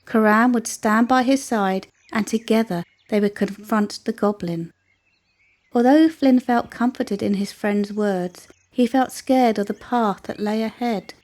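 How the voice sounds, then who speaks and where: A woman's voice: on the high side, measured pace, darkish tone. A woman narrating a children's story as a scripted storyteller.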